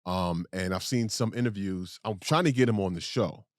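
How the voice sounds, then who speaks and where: A man's voice: low voice, moderate pace, dry recording, clean studio sound. A man co-hosting a podcast, chatting casually and rambling off on tangents. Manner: rambling, digressive storytelling. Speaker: podcast co-host.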